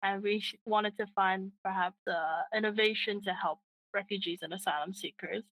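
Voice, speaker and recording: A woman's voice: medium pitch, fairly even intonation, normal speed, a bit bright, rough recording. A woman co-founder answering interview questions in an earnest way, with frequent fillers and restarts. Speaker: app co-founder, guest.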